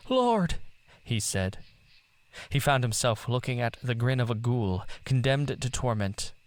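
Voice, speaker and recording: Very slow, deliberate male storyteller. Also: medium pitch, fairly even intonation. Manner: theatrical, deliberate. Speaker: narrator. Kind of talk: dramatic literary story narration.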